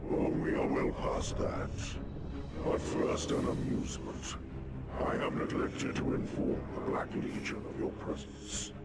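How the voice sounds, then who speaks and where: An actor playing a gloating ancient daemon in a possessed body, taunting and mocking in a breathy, theatrical game-villain voice. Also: male voice, measured pace, natural voice. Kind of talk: video game villain acting. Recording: loud music behind the voice.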